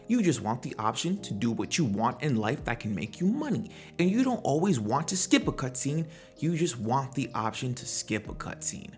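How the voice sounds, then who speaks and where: A man's voice: mid-range voice, fairly bright tone. Guy doing casual gaming commentary, slangy, asks rhetorical questions, music under him. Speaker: host of a gaming commentary video. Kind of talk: casual opinionated video commentary.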